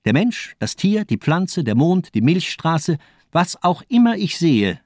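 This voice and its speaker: Mocking, fanatical villain doctor, dramatically animated. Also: male voice, fairly high pitch, unhurried, dry recording, clean studio sound. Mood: fervent, grandiose. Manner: rhetorical, mocking, declamatory monologues. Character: cold fanatical nihilist doctor, verbose male murderer. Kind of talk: German radio crime drama acting.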